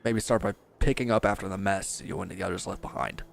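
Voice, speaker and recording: A defeated villain played by a male actor in an audio roleplay, wounded and proud. His low voice crackles with gravel as he speaks with resentful, sardonic bite. There is some background noise on the recording. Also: fairly even intonation, quick pace. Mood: bitter, taunting. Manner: bitter, sardonic, confrontational. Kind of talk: dramatic audio roleplay monologue.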